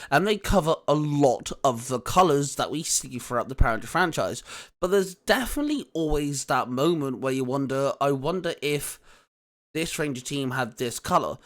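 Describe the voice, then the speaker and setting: A man's voice: medium pitch, fairly bright tone. A man hosting a hobby video, talking informally as he presents and chats. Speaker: host. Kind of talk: casual scripted intro and chat.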